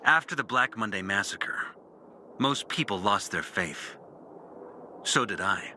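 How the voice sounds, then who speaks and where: An intense, low-voiced former priest. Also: male voice, lively intonation, bright, forceful tone, slight background noise, full character voice. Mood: bitter, resolute. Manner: brooding, deliberate confessional delivery with dramatic pauses. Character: disillusioned former priest, bitter and intense, recounting his lost faith. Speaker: character narrating an audio journal. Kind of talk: dramatic video game monologue.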